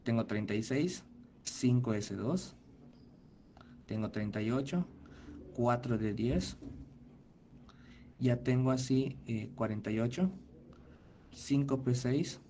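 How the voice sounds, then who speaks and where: A man teaching a virtual class in a mellow, soft-edged voice. He works through each step methodically and stops to check that his students follow. There is a faint hum of noise behind him. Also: mid-range voice, animated, slow, pauses often. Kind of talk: online class explanation.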